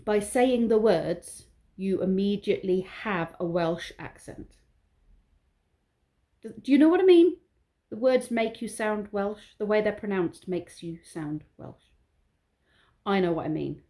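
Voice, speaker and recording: A woman chats to her viewers in an easygoing vlog, drifting from one thought to the next. Her voice is mellow and warm. She talks in a loose, rambling way and admits when she is waffling. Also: medium pitch, animated, unhurried. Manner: rambling, chatty, self-aware waffling. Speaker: vlogger. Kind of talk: casual vlog monologue.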